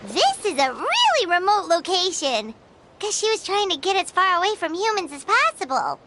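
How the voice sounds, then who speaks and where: A woman voicing a tiny, excitable floating fairy companion with a squeaky childlike voice in an anime game. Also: moderate pace, exaggerated voice. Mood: curious. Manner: exclamatory, cute, expressive.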